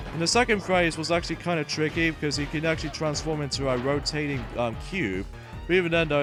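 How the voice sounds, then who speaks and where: Rambling man commentating over game music. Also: fairly high pitch. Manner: rambling, halting explanations. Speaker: let's play host. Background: video game music.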